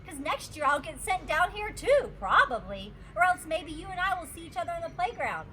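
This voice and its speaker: An excitable, cheeky kindergarten girl acted out by a woman from a storybook. The voice is very high and swoops around, full of blunt, eager exclamations. There is some noise behind it. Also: a little fast. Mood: excited. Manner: gushing, childlike exclamations. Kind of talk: children's storybook character reading.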